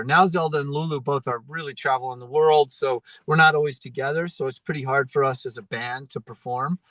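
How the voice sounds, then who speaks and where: A man's voice: medium pitch, fairly bright tone, no room sound, poor audio. A man guesting on a podcast as a filmmaker and musician, easygoing and appreciative in casual chat.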